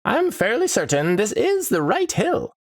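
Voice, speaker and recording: A man's voice: sits high, huge pitch swings, unhurried, dry recording, studio quality, voice pushed up, heavily acted. A man voicing a scatterbrained, cheerful old male time wizard with a reedy voice and a forgetful, dithering way of talking.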